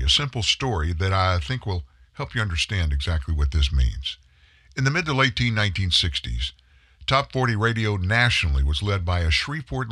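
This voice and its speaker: A man's voice: lowish voice, bright, forceful tone, husky, no echo, studio quality. A middle-aged man hosting a political commentary show, telling a story with emphatic delivery. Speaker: host of a commentary news show. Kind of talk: scripted political commentary monologue.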